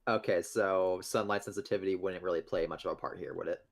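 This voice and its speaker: A man's voice: sits low, steady tone, fast. A man playing a tabletop role-playing game, casually chatting and describing his character's moves. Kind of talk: casual role-play narration and chat.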